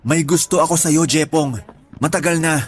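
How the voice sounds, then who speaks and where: An earnest young Filipino man voiced by an actor in a radio drama, his voice on the high side. He pleads apologetically as a lovestruck friend, with music softly underneath. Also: steady tone, moderate pace, close to an everyday voice. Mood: earnest, anxious. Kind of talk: Tagalog radio drama acting.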